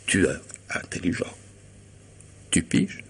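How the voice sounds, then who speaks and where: An actor playing a vengeful, mocking killer in a French radio drama, theatrical and menacing, moving between hush and outburst. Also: male voice, slow pace, a little hiss or hum, natural voice. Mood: cold, bitter. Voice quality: husky, gravelly voice. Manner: theatrical menace, swinging from hushed to loud.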